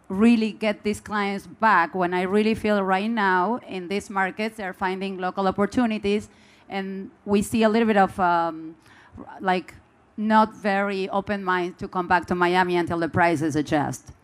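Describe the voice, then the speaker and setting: A woman's voice: mid-range voice, fairly even intonation, unhurried. A woman from Argentina stands in the audience of a large conference hall and asks a question into a handheld mic. She is polite and searches for her words as she goes. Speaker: audience member asking a question.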